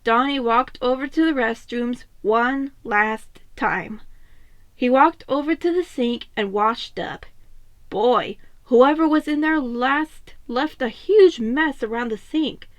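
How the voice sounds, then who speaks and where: A woman's voice: high voice, takes it slowly, no echo. A woman narrating a scripted story expressively, as a fan fiction reader.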